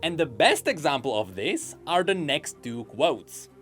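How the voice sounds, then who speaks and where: A man's voice: fairly high pitch, big pitch swings, measured pace, fairly bright tone, husky, faint music behind. A man commenting on game lore in a chatty, speculative voice-over.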